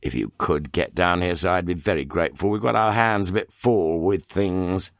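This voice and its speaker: A man's voice: low voice, normal speed, vocal fry, dry recording, clean studio sound. A man voicing a dryly ironic, irritable middle-aged chief inspector in an audiobook. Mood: curt, dry.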